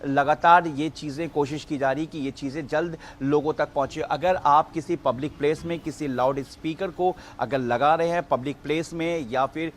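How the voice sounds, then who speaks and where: A man giving an unscripted live news report, explaining in a halting, repetitive way. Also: fairly high pitch, fairly even intonation, slight background noise.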